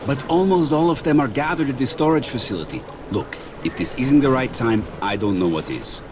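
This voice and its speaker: Man playing a restless, animated game gunman. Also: mid-range voice, a little fast, background noise, lightly acted. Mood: resentful. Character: restless male gunman, resentful and eager to fight. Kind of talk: video game voice acting.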